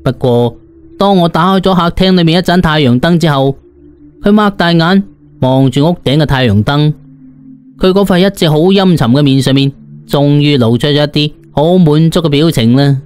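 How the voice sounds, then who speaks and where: A man's voice: a little high, moderate pace, darkish tone, clean tone, soft background music. A man with a Cantonese accent narrating an audiobook in a colloquial, dramatic first-person storytelling style.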